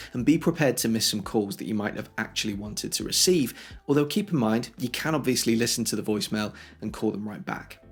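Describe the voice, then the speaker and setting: A man presents a how-to video in a slightly husky, mid-pitched voice. He walks through instructions step by step in a conversational manner. Faint music plays behind him. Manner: clear step-by-step explaining, conversational. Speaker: tech tutorial host.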